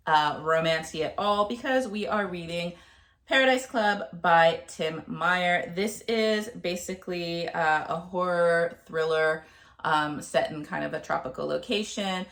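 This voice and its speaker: A woman in her thirties talking casually to camera about her month's reading, a bit nasal and gravelly from a cold, with plenty of ums and uhs. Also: on the low side, a little slow, fairly bright tone. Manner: chatty, with frequent ums and uhs. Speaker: book vlogger.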